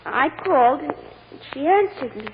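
High pitched timid little girl on the witness stand, old radio drama, a bit of noise. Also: expressive, moderate pace. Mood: hesitant, anxious. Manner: simple, literal, childlike phrasing. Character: timid, innocent little girl testifying in court. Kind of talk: 1940s radio drama acting. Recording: old radio recording, narrow band, light noise.

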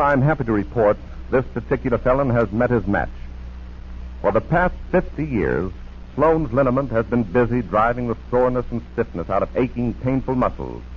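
A man working as a 1940s radio announcer, with a polished, emphatic sales delivery. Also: mid-range voice. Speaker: radio announcer and sponsor pitchman. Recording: dull, hissy 1940s radio broadcast.

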